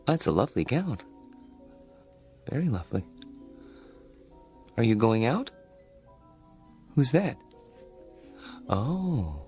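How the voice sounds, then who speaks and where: An actor playing a meek, awkward grown son in a radio drama, stammering politely, a lonely and secretive dreamer. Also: male voice, lowish voice, mellow, exaggerated voice. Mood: tender, wistful. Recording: music bed under the dialogue.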